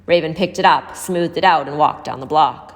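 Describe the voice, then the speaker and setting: Female audiobook-style narrator, fairly low voice, reading prose aloud with hall-like reverb. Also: brisk. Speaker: story reader. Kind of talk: scripted story narration.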